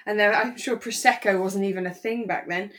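A British woman co-hosting a podcast, talking casually in an animated, emphatic way. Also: mid-range voice, a little fast. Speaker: podcast co-host. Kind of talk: casual chat.

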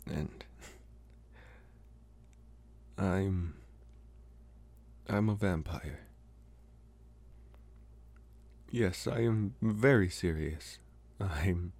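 A man playing a smitten young college vampire in an intimate, hesitant, soft-spoken ASMR roleplay. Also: deep voice, gravelly, exaggerated voice. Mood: hesitant, earnest. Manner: hesitant, soft-spoken, slow with long pauses. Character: young male college vampire, smitten, calm, gently possessive. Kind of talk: ASMR roleplay, intimate one-sided dialogue.

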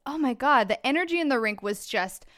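A woman's voice: high-pitched, animated, a little fast, fairly bright tone. A woman co-hosting a podcast, chatting in a bubbly, sassy way and telling stories. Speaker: podcast co-host. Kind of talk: casual chat.

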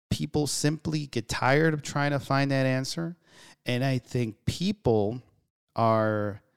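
Earnest man musing in a smooth voice. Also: medium pitch, a little mellow. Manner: earnest, musing, with frequent restarts. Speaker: podcast host. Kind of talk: solo reflective monologue.

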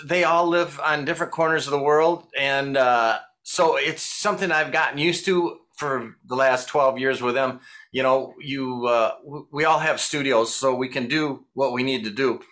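Middle-aged Michigan man, bright forceful tone, rambling guest who chuckles between thoughts. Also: on the high side, normal speed. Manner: rambling, chuckling, loose storyteller. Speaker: guest musician. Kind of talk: casual interview chat.

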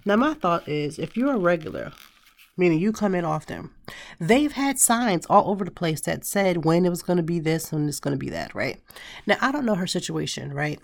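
A woman in her thirties hosting a casual solo podcast, hoarse and raspy from a cold, chatting and telling stories straight to her listeners. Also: lowish voice, animated, moderate pace, no echo, clean studio sound. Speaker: podcast host.

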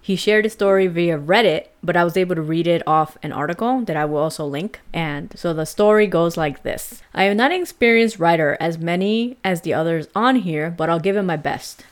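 A young adult woman from El Salvador, hosting a casual podcast, chatting and laughing easily as she retells and reads out a ghost story. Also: lowish voice. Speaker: podcast host.

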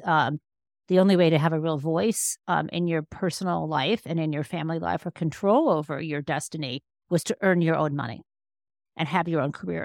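A woman in her fifties with an American accent and a fairly low voice. She talks in an articulate, reflective way, telling stories about herself in a conversational interview. The sound is very dry, with no room echo. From Michigan, United States. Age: 50s. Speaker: interview guest, law professor and author. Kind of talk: conversational personal storytelling in an interview.

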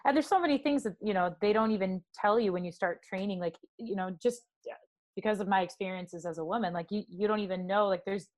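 A young adult woman from Canada with a low speaking voice, chatting loosely as a podcast guest and leaning on filler words. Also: fast talker, darkish tone. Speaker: guest singer. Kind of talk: casual podcast chat.

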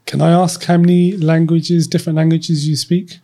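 Interview host; mellow, warm-toned, easy conversational questions. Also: mid-range voice, level tone, normal speed.

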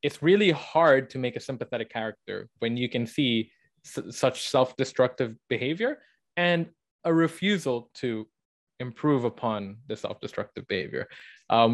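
A young man co-hosting a podcast, explaining and arguing his points in a casual book discussion. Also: medium pitch. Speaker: podcast co-host.

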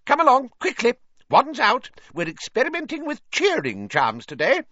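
A brisk schoolmaster from a storybook, acted by a man, pitched well up high. He sounds reproving and hurries his pupils along with animated intonation. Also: no echo, highs cut off, heavily acted.

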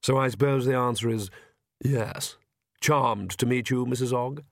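A man voicing a suave, courteous young male vampire in an audiobook, polite and unhurried. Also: medium pitch, steady tone, no room sound, clean studio sound, close to an everyday voice. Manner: polite, unhurried, smooth-mannered. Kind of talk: audiobook character voice.

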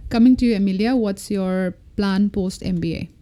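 A woman's voice: medium pitch, normal speed, dark tone. A woman host asking her guests prepared interview questions in a plain way.

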